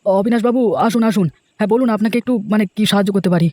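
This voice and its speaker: Man acting excitable cartoon villager, high voice, very quick delivery, emphatic, hot-tempered, faint music. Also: no echo, natural voice. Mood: respectful, puzzled. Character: hot-tempered, accusing village garden owner. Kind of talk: Bengali fairy tale cartoon acting.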